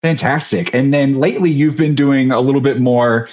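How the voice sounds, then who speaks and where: A man's voice: mid-range voice, normal speed, darkish tone, dull audio. A man, hosting a casual interview over a video call. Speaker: host. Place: small home room, video call.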